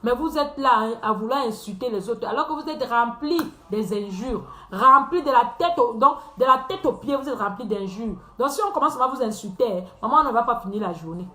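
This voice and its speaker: Woman, live-stream host, high mocking voice, echoey room, teasing and laughing. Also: lively intonation, brisk, few pauses, pushed and bright. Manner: teasing, laughs often, repeats phrases.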